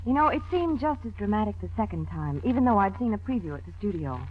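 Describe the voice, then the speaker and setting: Animated woman radio reporter. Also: medium pitch, fast talker. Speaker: Hollywood reporter. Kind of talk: 1940s radio scripted promotional talk. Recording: dull, faintly noisy vintage radio recording.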